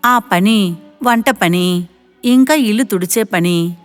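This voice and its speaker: A woman's voice: mid-range voice, takes it slowly, faint music behind. A woman narrating a scripted moral story.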